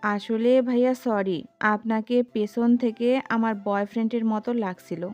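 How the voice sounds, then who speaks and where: A woman's voice: fairly high pitch, fairly even intonation, a little slow, darkish tone, faint music behind, lightly acted. A woman playing a sheepish, polite young woman apologising in a romantic audio story.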